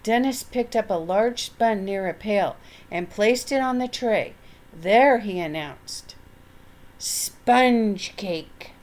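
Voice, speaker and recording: An older woman reading a children's book aloud as storyteller, with expressive, deliberate narration. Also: mid-range voice.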